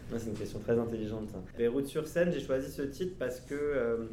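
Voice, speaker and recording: A man in his thirties from France, answering children's questions as an author, pausing and restarting often in a gentle, casual way. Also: mid-range voice, echoey room, a little hiss or hum. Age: 30s. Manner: hesitant, frequent restarts and fillers, gentle with children. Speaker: author interviewed by schoolchildren.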